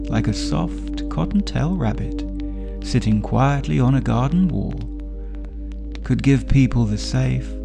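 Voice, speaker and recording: A man narrating a sleep story for adults as a storyteller, with a soothing, gentle, unhurried delivery. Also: medium pitch, gravelly. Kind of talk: slow bedtime story narration.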